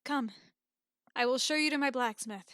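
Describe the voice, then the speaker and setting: A woman's voice: high-pitched, moderate pace, a bit bright, clear, resonant tone, dry recording, studio quality. A woman playing a businesslike, confident fantasy swordswoman and blacksmith, matter-of-fact, in an audiobook drama. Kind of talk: audiobook drama character acting.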